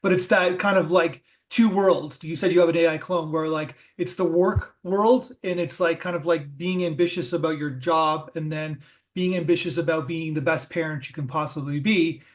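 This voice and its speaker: A man with a high voice for a man hosts a casual film interview. He circles his questions with many fillers and restarts before getting to the point. His talk is loose and conversational. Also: fairly even intonation, moderate pace. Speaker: podcast host.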